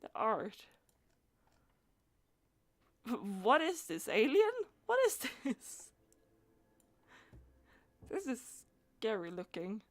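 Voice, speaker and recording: Slow, higher-voiced woman streaming a game. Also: big pitch swings. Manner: hesitant, thinking aloud with trailing phrases. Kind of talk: casual live gaming stream commentary.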